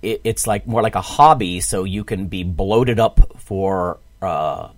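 A man's voice: sits low, a little slow, no room sound. A man in his forties hosting a podcast, talking casually about fitness. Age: 40s. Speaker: podcast host.

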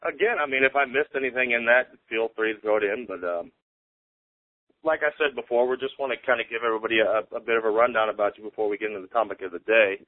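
A male podcast host introduces his guest with many ums, sounding loose and unscripted. His voice is mid-pitched and sits dry and close, with no room around it. The sound is thin and phone-like. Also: fast talker, no room sound. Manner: hesitant, frequent ums. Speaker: podcast host, strength coach. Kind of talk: casual interview hosting.

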